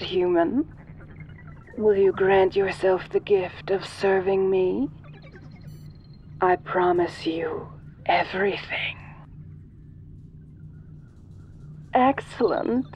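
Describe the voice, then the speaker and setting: A woman voicing an ancient, godlike alien in a soft-voiced audio roleplay, sinister, seductive and imperious, speaking formally and silkily. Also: medium pitch, creaky, highs cut off, a little hiss or hum. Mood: commanding, pleased. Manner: slow, imperious, formal, silky.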